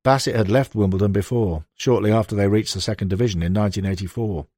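A man reading a non-fiction sports book aloud as a scripted, expressive narration. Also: lowish voice, fast talker, no echo, studio quality. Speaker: audiobook narrator.

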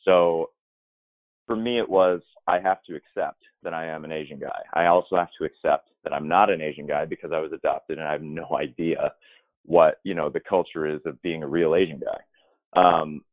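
A man with a very low, deep voice talks through his career in a reflective, meandering way, circling back and restarting as he thinks. The sound is thin and phone-like, with no room echo at all. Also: fairly even intonation, normal speed. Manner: thoughtful and rambling, with many restarts and fillers. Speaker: musical theater performer. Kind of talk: reflective interview answers. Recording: dry, tinny phone-like line.

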